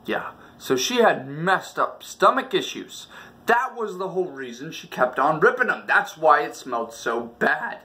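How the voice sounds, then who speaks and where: A man's voice: a little high, sing-song, measured pace, pushed and bright. A man hosting a comedic storytelling video with a theatrical, exaggerated delivery. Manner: theatrical, exaggerated delivery with acted-out reactions and sound effects. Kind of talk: animated comedic personal storytelling.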